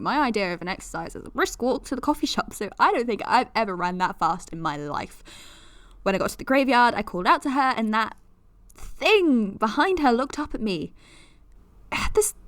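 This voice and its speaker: A woman's voice: a little high, a bit bright, dry recording. An actress playing a young woman podcaster who talks in a confiding, nervous, self-deprecating way. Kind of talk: audio drama monologue.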